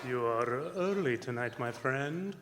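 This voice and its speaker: A man acting as a courteous, sinister vampire nobleman in a stage radio drama, speaking formally and with measured courtesy. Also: medium pitch, animated. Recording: noisy live hall recording, long reverb.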